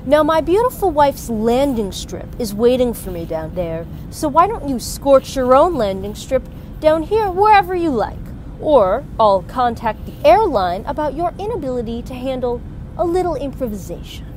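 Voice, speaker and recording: A grandiose, self-satisfied rich husband fills this radio play. The voice sits high and is lofty and entitled. Faint noise hangs behind it. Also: female voice, big pitch swings, moderate pace, darkish tone. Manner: pompous, grandiose.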